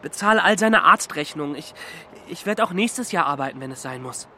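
Forceful, brash teenage boy, acted by a man. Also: a little high, lively intonation, normal speed, a little hiss or hum, exaggerated voice. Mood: earnest, forceful. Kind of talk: audio drama dialogue.

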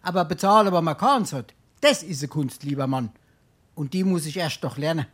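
Husky, high-voiced Hessian sponger. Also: male voice, lively intonation, moderate pace, fairly bright tone. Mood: wheedling, glib. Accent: Hessian, Darmstadt dialect. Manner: wheedling, mock-courteous, theatrical. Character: wily, penniless sponger, a glib charming idler. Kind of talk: dialect stage comedy acting.

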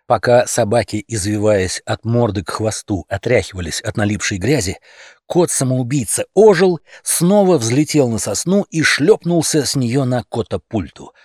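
A man narrating a humorous animal tale as an audiobook, in an expressive, theatrical storytelling manner. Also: medium pitch, husky, dry recording, clean studio sound.

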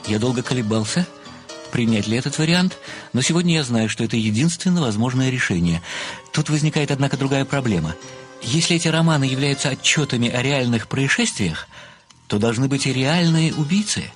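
A methodical male amateur detective played by an actor in a radio play, speaking in a medium-pitched voice over music. He is formal and deliberate, full of flowery deference toward the writer he adores. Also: lively intonation, lightly acted. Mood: methodical, earnest. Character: obsequious, methodical amateur male sleuth, adoring a famous writer. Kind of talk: audio play acting.

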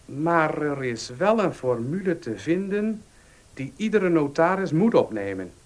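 An actor in a Dutch radio play playing a cautious, formal notary who speaks in a deliberate, hesitant way. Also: male voice, fairly high pitch, big pitch swings. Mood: cautious, explanatory.

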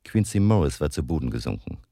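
An actor playing a grave older vampire hunter who narrates a radio drama in a solemn, intense, deliberate, gravelly way. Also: male voice, rumbling low, sing-song, mellow, no echo, clean studio sound, exaggerated voice. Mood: solemn narrating. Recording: faint music behind the voice.

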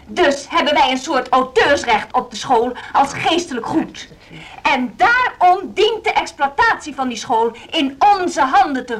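Stubborn woman teacher, high voice, earnest, formal, insistent, radio drama speech. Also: fairly bright tone, slight background noise. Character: principled, stubborn female music teacher arguing her minority case.

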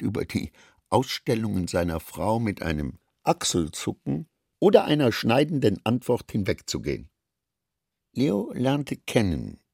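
A man reading scripted literary narration for a radio play in a measured, articulate, dryly ironic manner. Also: fairly low pitch, creaky, a little mellow, breathy edge, no echo, clean studio sound.

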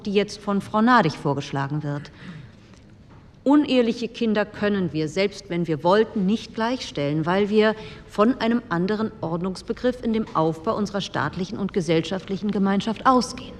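A woman's voice: mid-range voice, moderate pace, natural voice. An actress playing a strict, principled conservative woman politician of 1948, lecturing parliament in a formal, didactic way. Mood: stern, didactic. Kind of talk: formal parliamentary speech, re-enacted. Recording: long hall reverb, faint noise.

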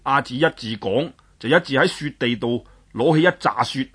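A man telling a traditional story, dramatic and expressive, swinging his pitch up and down. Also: moderate pace, breathy edge.

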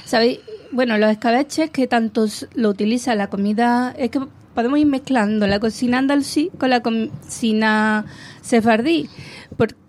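A woman's voice: a little high, moderate pace, darkish tone. A woman on a casual talk show, telling food history enthusiastically and rambling a bit as she goes.

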